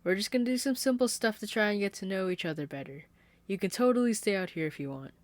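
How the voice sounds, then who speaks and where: A reader voicing a sweet, sing-songy teenage girl hosting a party, loud and bubbly, in a dry home recording. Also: medium pitch, quick pace, no echo, voice pushed up, lightly acted. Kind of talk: fan fiction reading.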